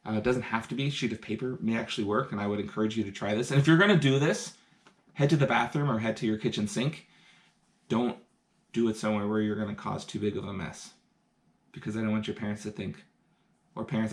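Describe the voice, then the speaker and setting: A man's voice: lowish voice, fairly even intonation, fast talker. Chatty man explaining science experiments at home. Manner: enthusiastic, chatty, explains step by step while doing experiments. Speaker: science teacher. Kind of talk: live-streamed science demonstration. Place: home, indoors.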